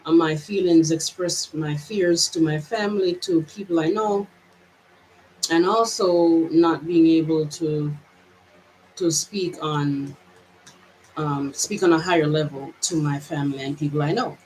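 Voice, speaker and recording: A woman's voice: low voice, steady tone, a little slow, darkish tone, slight background noise, rough recording. A woman from Jamaica with a mixed Jamaican and American accent, giving a reflective personal vlog monologue in a hesitant, searching way with many restarts. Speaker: vlogger.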